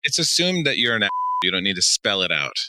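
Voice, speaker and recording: A man's voice: on the low side, sing-song, fast, bright, forceful tone. A man co-hosting a podcast, telling stories and explaining in a casual way. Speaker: podcast co-host.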